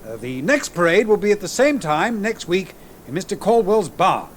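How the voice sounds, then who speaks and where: An actor playing a mild, fretful middle-aged English gentleman in a period radio drama, courteous and formal, with an English accent. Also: high voice, sing-song, moderate pace, a little hiss or hum. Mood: modest, courteous.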